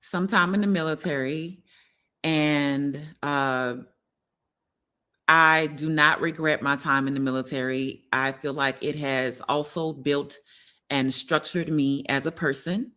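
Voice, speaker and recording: A woman's voice: low-pitched, steady tone, takes it slowly, bright, forceful tone. A middle-aged woman, chatting casually to her livestream viewers and often checking in with them as she hosts. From New Orleans, United States. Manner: conversational, addresses viewers directly, often seeks agreement. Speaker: wellness livestream host.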